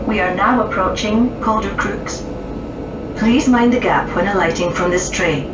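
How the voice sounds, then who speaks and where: A woman giving formal recorded railway passenger announcements in measured, segmented phrases, heard over a train's public address. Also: medium pitch, far from the mic. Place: aboard a moving passenger train. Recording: muffled, noisy, echoey train PA captured from afar. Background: loud train and rail noise.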